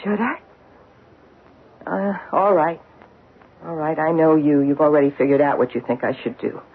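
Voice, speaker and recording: An actress playing an anxious, disbelieving policeman's wife in a 1970s radio drama, earnest and halting in her speech. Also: sits low, fairly even intonation. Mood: anxious, conflicted. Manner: earnest, halting when distressed. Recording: muffled phone-like old radio broadcast.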